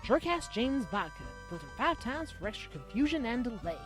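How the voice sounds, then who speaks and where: A woman's voice: a little high, very animated, fairly quick, poor audio. A woman playing an upbeat commercial announcer in a parody advert. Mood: salesy. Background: music under the voice.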